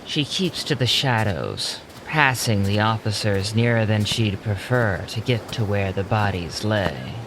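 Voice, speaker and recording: A man narrating an audio drama in a deliberate, suspenseful scripted storytelling style. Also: on the low side, background noise.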